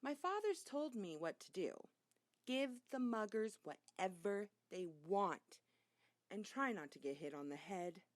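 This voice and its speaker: A woman reading a children's story aloud as a narrator, in an expressive storytelling way. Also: medium pitch, measured pace, no echo. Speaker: reader.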